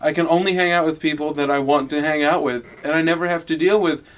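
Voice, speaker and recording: A man with a somewhat high-pitched voice, stoned and drunk, chatting casually as a podcast co-host. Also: steady tone, fast, a bit bright.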